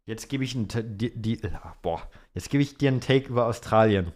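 A man's voice: lowish voice, lively intonation, fairly quick, darkish tone. A young man, co-hosting a podcast in casual, slangy banter. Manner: colloquial, slangy. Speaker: podcast co-host. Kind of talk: casual banter, answering listener questions.

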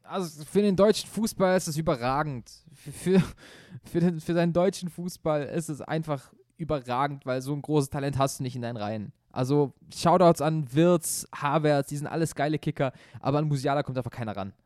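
A man's voice: on the high side, fairly quick, few pauses, a little mellow, no room sound, studio quality. Opinionated young man chatting football. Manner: opinionated, rambling with restarts. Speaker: podcast co-host. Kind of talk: casual football podcast chat.

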